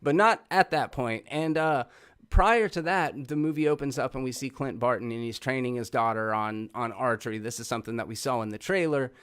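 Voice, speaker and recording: A man's voice: medium pitch, fairly quick, fairly bright tone, clean tone. A man hosting a casual film review show, effusive and swearing freely as he talks. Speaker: host of a movie review show.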